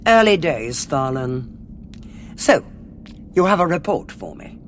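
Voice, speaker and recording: An actress playing a brisk, haughty military cardinal in a science fiction audio drama. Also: female voice, low-pitched, sing-song, slight background noise, full character voice. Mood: businesslike, resolute. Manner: commanding, clipped, haughty. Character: brisk, imperious female alien cardinal, military commander.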